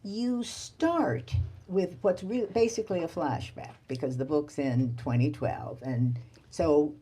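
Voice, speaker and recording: A woman interviewing at a book event in thoughtful literary conversation, with halting, reflective phrasing. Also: sits low, warm tone, breathy edge. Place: live bookstore event.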